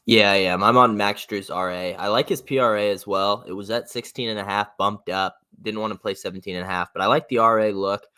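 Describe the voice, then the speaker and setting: A young man with a raspy voice co-hosting a casual sports betting livestream, laid-back and agreeable. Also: fairly low pitch, fairly even intonation, fairly quick, few pauses, bright, forceful tone. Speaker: sports betting stream co-host.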